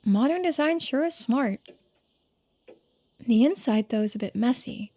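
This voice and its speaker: Woman voicing a soft, high-pitched, thoughtful young game character. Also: expressive, unhurried. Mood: musing. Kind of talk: voiced game dialogue and narration.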